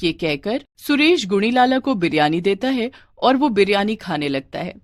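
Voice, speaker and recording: A woman's voice: medium pitch, sing-song, moderate pace, no room sound, clean studio sound. A woman narrating a scripted moral tale as a storyteller.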